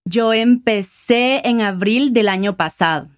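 Una mujer guatemalteca con acento guatemalteco, invitada en un podcast, que responde de forma pausada y con algunas vacilaciones. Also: female voice, fairly high pitch, dry recording, studio quality. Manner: measured, with hesitation fillers. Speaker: guest, sales manager. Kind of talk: interview answers.

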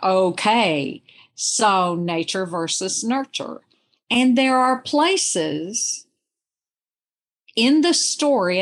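Slow-paced, thoughtful woman in conversation. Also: medium pitch. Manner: thoughtful, reflective, deliberate. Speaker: guest discussing fiction. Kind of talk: conversational literary discussion.

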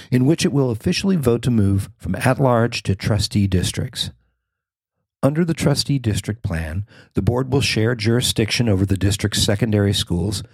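A man's voice: on the low side, a little mellow, husky, dry recording. A man reading scripted news narration as a podcast host and reporter.

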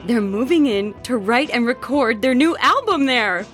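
Young woman, acted, gossipy, bold, dramatic, very animated pitch, audio drama, music underneath. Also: moderate pace. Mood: building suspense. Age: young adult. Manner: animated, teasing, dramatic storytelling. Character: excitable young woman roommate, gossipy, bold, scheming to party. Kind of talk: horror audio drama dialogue.

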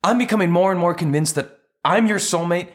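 An actor playing an earnest, persistent romantic man with a husky voice, sincere and pleading in a naturalistic audio drama style. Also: high-pitched, brisk. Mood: impassioned, pleading.

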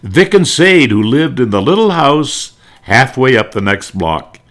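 A man's voice: medium pitch, very animated, a little slow, fairly bright tone. An older man presenting a nostalgic talk, reminiscing with theatrical emphasis. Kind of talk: nostalgic narrated talk on old radio.

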